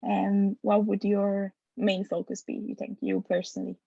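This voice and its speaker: A woman asks questions in a gravelly, mellow voice, friendly but hesitant, with plenty of fillers. The recording is dry and somewhat dull and lo-fi. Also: mid-range voice, level tone, normal speed, dry recording. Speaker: interviewer. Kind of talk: casual interview questions.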